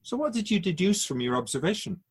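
A man playing an otherworldly, patient keeper of souls in a radio drama, asking measured, probing questions in a husky voice. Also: sits high, very animated, full character voice. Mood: patient, pointed.

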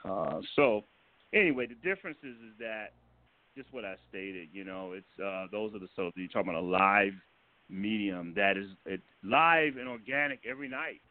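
A man giving casual, halting interview answers as a guest director, explaining things with chuckles along the way. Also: fairly low pitch. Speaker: guest, stage and film director. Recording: narrow phone-line sound.

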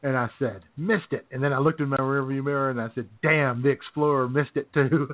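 A man's voice: mid-range voice, fairly even intonation, brisk, dry recording. An American man co-hosting a talk show in casual, jokey banter, often chuckling as he goes. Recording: tinny, phone-like band-limited sound.

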